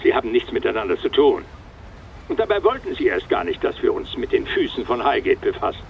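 Tinny phone voice, sceptical deputy. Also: male voice, mid-range voice, huge pitch swings, brisk. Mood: defensive. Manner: precise, reluctant, pedantic. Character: sceptical, erudite male police officer, defensive deputy. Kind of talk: German radio crime drama acting. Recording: tinny phone line, distant, faint noise.

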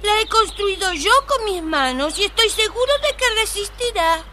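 A woman's voice: extremely high pitch, expressive, normal speed, noisy background. A woman voicing a very fat, cocky little male pig in a children's story, childlike and boastful. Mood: defiant. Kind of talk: recorded children's story acting.

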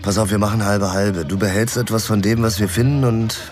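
An actor playing a weary, dogged investigator who talks in a dry, blunt, laconic way in a German radio drama. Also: male voice, lowish voice, steady tone, a little fast, darkish tone, lightly acted. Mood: weary, determined. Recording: music behind the voice. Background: music.

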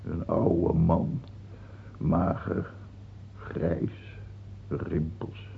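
Gravelly, peevish old king. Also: low voice, monotone, drawn-out words, full character voice. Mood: morbid brooding. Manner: rambling self-pity flaring into imperious ranting. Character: gaunt, grey old French king, peevish, morbid and tyrannical. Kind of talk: theatrical radio drama acting. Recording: dull, lightly noisy old radio recording.

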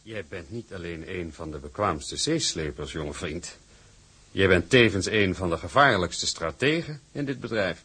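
An older male actor playing a shrewd, imperious company boss with a gravelly, husky voice and a deliberate, patronising manner in a drama. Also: low voice, expressive, bright, forceful tone, heavily acted. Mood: wry, guarded.

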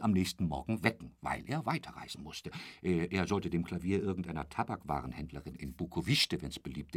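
An actor in a German radio drama playing a garrulous, boastful travelling salesman who rambles chummily and corrects himself, sometimes dropping to a breathy murmur. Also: on the low side. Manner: chatty, rambling storyteller with a pet polite phrase, correcting himself.

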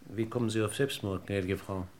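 An actor playing a courteous, methodical police inspector in a German radio crime drama, questioning with formal, deliberate politeness. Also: male voice, lowish voice, steady tone, a little mellow, natural voice. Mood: measured, probing. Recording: noisy old radio play recording.

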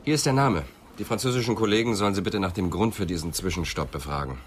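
An actor playing a serious, probing police inspector in a radio crime drama, speaking in terse questions and remarks. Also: male voice, deep voice, fast talker, a little hiss or hum, lightly acted. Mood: pensive, businesslike.

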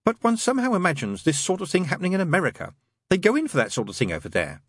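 A man's voice: high voice, huge pitch swings, very fast, a bit bright, slightly rough, no echo, studio quality, pitched above the performer's own voice, heavily acted. A man voicing a prim, fussy, genteel male angel with polite, old-fashioned, faintly pompous phrasing, in an audiobook story. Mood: mildly disapproving.

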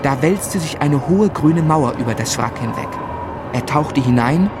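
An actor playing a grave, shaken narrator recalling a tragedy, with measured, dramatic literary delivery in a radio play. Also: male voice, on the high side, lively intonation, music in the background. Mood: anguished suspense. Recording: studio radio drama with musical underscore.

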